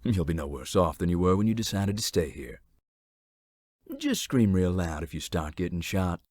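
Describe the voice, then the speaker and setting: Deep, husky, laconic man. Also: animated, normal speed, darkish tone, dry recording. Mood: dryly mocking. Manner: dry, laconic. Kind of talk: video game voice acting.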